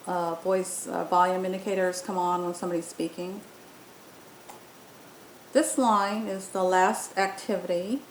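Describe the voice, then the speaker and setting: A woman presenting an unscripted app demo, explaining step by step with some hesitation. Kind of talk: unscripted software tutorial narration. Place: indoor room, voice-over on screen recording. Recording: roomy echo, faint rumbling noise.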